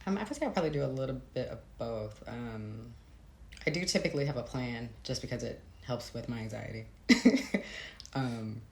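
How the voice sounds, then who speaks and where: An American woman with a very deep voice and a gravelly fry, speaking as an author in an interview. She is informal and reflective, feeling her way through her thoughts in a casual chat style. The room echoes noticeably around her. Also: lively intonation, moderate pace, darkish tone. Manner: informal, hesitant, laughs often, frequent "like" and "you know". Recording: roomy echo, faint background noise.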